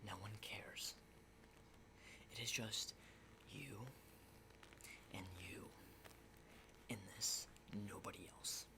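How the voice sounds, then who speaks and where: A man playing a jittery, hardened zombie apocalypse survivor in a whispered ASMR roleplay, halting and repeating himself insistently in a breathy voice. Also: lowish voice, steady tone. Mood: blunt, intense. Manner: halting, repetitive, insistent, often checking with "okay?". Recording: clearly noisy close whisper recording.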